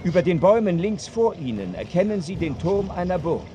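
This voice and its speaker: A man narrating a radio play gravely as scripted description, with clear outdoor noise behind him. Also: high voice, sing-song, fairly quick. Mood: grave, descriptive.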